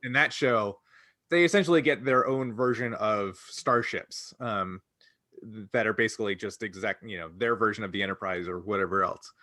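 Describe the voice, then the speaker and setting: A man's voice: lowish voice, moderate pace, bright, forceful tone, dry recording, studio quality. A man co-hosting a casual fan podcast, explaining things piece by piece in short phrases. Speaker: podcast co-host.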